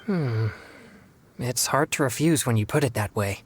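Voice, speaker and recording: A male actor voices a sheltered, earnest young man who hunts spirits in a video game. He speaks formally and carefully, keeping himself in check. The pitch is medium and there is a little noise behind the voice. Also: close to an everyday voice. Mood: earnest, pensive. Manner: formal, courteous, measured. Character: earnest, polite young exorcist man, self-controlled and sheltered.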